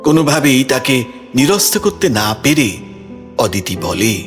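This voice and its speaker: A man's voice: mid-range voice, big pitch swings, measured pace. A man narrating a scripted audio story in a dramatic storytelling style. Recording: music behind the voice.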